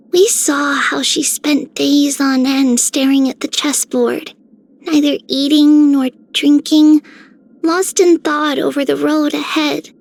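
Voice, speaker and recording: Little prophetess for a game: sweet, earnest, very high voice, unhurried pace. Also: female voice, gravelly, darkish tone, full character voice, poor audio. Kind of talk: scripted game character lines.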